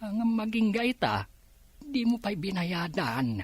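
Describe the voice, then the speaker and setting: Radio drama neighbour: Ilocano accent, husky, unhurried, aggrieved, pleading. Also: male voice, sits high, sing-song, no echo, heavily acted. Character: long-suffering neighbour trying to collect a small debt.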